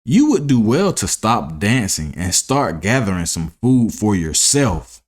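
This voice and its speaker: A man's voice: fairly low pitch, sing-song, measured pace. A man voicing a hardworking, sensible ant in a children's storybook, earnest and admonishing. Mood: earnest warning. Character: hardworking, sensible, stern male ant who lectures. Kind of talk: children's storybook read-aloud.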